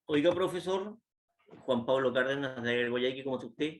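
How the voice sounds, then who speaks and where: A man's voice: mid-range voice, normal speed. A man calling in to a class to ask a question, talking in a friendly, chatty way. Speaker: caller.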